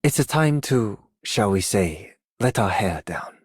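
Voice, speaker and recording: An actor playing a genial, reminiscing soldier in a fantasy game, talking in a relaxed, conversational way. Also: male voice, lowish voice, measured pace, slightly rough, dry recording, clean studio sound, exaggerated voice. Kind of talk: game character voice line.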